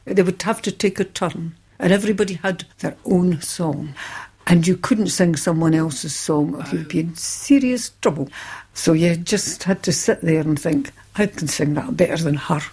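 A woman's voice: fairly low pitch, normal speed, a little mellow, slight background noise. Older Scottish woman telling stories. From Scotland. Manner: anecdotal, breaks into song. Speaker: interviewee, folk singer. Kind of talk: casual interview chat. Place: living room at home.

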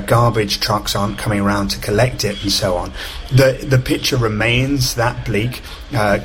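Low-voiced male foreign correspondent. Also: fairly bright tone, a little hiss or hum. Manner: measured, explanatory reporting. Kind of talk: live news report.

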